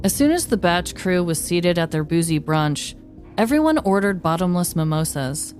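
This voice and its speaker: A woman's voice: fairly low pitch, sing-song, normal speed, a little mellow, faint music behind. A woman podcast host narrating a scripted comedic story.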